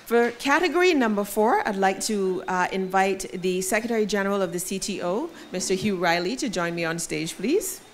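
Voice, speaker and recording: A woman's voice: medium pitch, lively intonation, normal speed, few pauses, slight background noise. A woman hosting an awards ceremony, announcing categories and nominees in a polished emcee manner with light self-deprecating asides. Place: event venue.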